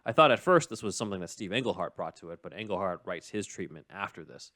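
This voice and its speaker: A low-pitched man talks like a podcast host walking listeners through a script. His delivery is measured and explanatory but casual, recorded close and very dry. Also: lively intonation, fairly bright tone, no echo. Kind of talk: casual recap and commentary on a script.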